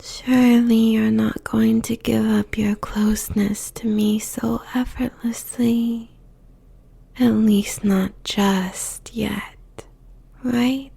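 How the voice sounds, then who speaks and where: A woman playing a seductive, possessive demon queen in a whispered audio roleplay, slow and deliberate, breathy with a gravelly fry. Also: fairly high pitch, dark tone, heavily acted. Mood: taunting, tender. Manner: slow, deliberate, sultry, menacingly tender.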